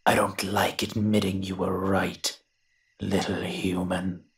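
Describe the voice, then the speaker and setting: A man voicing a grumbling, reluctant invisible monster with a secret soft heart in an audio roleplay, dry and sardonic. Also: low-pitched, little pitch movement, slow. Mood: grudging, sardonic. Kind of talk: scripted character monologue, audio roleplay.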